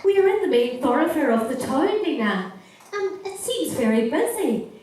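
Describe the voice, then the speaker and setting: A woman playing a kindly, commanding captain hero in a stage pantomime, measured and reassuring. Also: high voice, sing-song, a little mellow. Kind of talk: scripted pantomime stage acting. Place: theatre stage. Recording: distant, very echoey hall recording.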